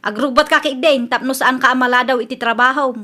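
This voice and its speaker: Woman playing a brash, high, bright Ilocano housewife. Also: steady tone, fast talker. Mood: dismissive. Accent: Ilocano Filipino. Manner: defensive, dismissive. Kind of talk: radio comedy drama acting.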